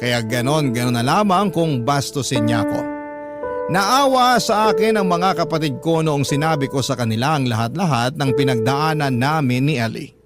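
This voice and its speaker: A man's voice: on the high side, lively intonation, moderate pace, lightly acted. A man playing a humble young Filipino telling his love story in polite, earnest first-person radio narration. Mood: hurt, reflective. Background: loud background music.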